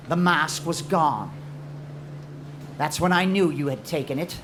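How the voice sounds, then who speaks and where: Man acting a high-voiced, vengeful treasure hunter. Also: fairly bright tone, slight background noise. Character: bitter, obsessive male treasure hunter, vengeful rival named Jacob. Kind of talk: live macabre audio drama acting.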